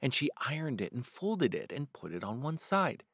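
A man reading a children's picture book aloud with expressive, varied storybook delivery. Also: mid-range voice, moderate pace, no echo, clean studio sound.

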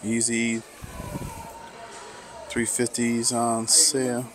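A man vlogging casually as he browses sneaker stores in a mall, talking slowly and musing to himself with lots of fillers. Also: medium pitch, little pitch movement. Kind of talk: casual walk-through commentary.

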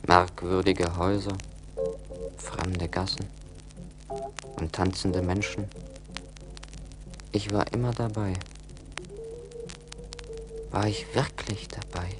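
An actor playing a rich, cold-hearted young man in a radio play, joyless, deliberate and self-important. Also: sits low, steady tone, music in the background, low-quality recording. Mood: weary, brooding. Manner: brooding, deliberate, haughty.

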